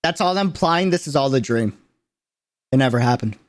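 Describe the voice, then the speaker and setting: A man's voice: mid-range voice, expressive, moderate pace. A man giving a casual livestream reaction in an emphatic, slangy way, repeating phrases to drive them home. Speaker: streamer reacting to a game character trailer.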